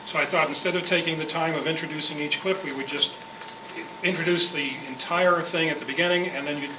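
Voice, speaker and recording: A man's voice: a little high, steady tone, a little fast. A man presenting informally to a club meeting in a rambling, conversational way. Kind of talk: informal spoken introduction to a club. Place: indoor club meeting room. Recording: echoey room, far mic, clear noise.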